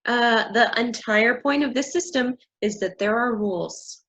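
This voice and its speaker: A woman's voice: a little high, a little slow, a bit bright. A woman acting an angry, bossy stickler for rules in a staged play reading, scolding and insistent. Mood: indignant.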